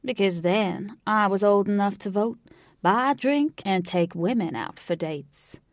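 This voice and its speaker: A woman puts on a big old Southern American man from a storybook, talking in a slow, loud cowboy drawl. He is folksy and avuncular, jovially doling out encouragement. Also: mid-range voice, no room sound, studio quality, close to an everyday voice. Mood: wistful, easygoing.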